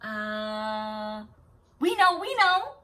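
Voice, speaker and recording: A woman's voice: mid-range voice, sing-song, very slow, pushed and bright, soft background music, heavily acted. A woman voicing proud, cruel, boastful young sisters in a storybook read-aloud. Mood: flustered. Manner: boastful, stammering and whispering when cornered.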